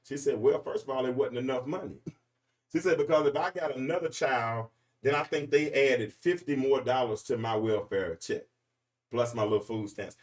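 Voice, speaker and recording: A man's voice: medium pitch, normal speed, distant mic. A man, hosting a freestyle commentary monologue in an emphatic, rambling, preachy way. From Arkansas, US. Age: 40s. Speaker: podcast host.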